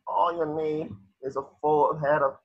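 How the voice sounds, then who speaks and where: A man playing a vain, snooty male cartoon squid with a husky, drawling, pompous delivery on slightly dull audio. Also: on the high side, steady tone, a little slow. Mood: smug. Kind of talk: animated cartoon table read.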